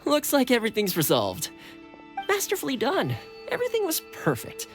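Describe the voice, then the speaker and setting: An actress playing a devoted young retainer in a video game, respectful yet wryly teasing toward a superior. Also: female voice, high voice, wildly swooping pitch, moderate pace, faint music behind, exaggerated voice. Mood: wry, flustered. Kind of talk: scripted video game voice acting.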